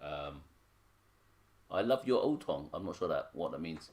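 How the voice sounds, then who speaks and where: A man from Hong Kong with a very deep voice, chatting and joking as he co-hosts his son's piano livestream and reads out viewer comments. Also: expressive, unhurried, darkish tone, a little hiss or hum. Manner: chatty, joking, self-mocking. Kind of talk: casual chat reading viewer comments.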